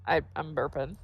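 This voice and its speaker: A woman's voice: low voice, slightly rough, no echo, clean studio sound. A woman streamer with a stuffy, congested nose, chatting casually and giggling often while playing a game. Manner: giggly, chatty, trips over restarts. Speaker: let's play streamer. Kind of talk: casual gaming chat.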